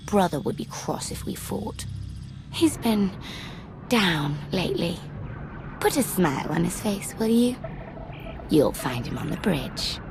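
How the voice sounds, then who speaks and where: A young game villainess, toying with her listener in a slow, sing-song drawl. Her creaky voice swoops with playful menace over loud music. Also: fairly low pitch, sing-song, heavily acted. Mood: mocking, playful menace. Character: taunting, playful, dangerous young female villain. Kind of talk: video game villain acting.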